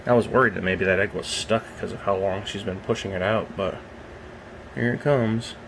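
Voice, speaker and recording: A young man with a deep, low-pitched voice, fresh out of bed, talks softly and rambles at length about the reptile in front of him. His casual vlog chatter is recorded indoors at home, with a faint hum of background noise. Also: steady tone, normal speed, gravelly, low-quality recording. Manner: rambling, soft-spoken, keeps his voice down. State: just woke up. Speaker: reptile keeper filming his snake. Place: at home beside a reptile rack.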